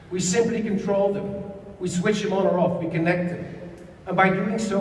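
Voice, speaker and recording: A man giving an earnest, salesy startup pitch on stage, with a few stumbles. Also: a little hiss or hum. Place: large event hall. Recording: echoey hall, voice from far off.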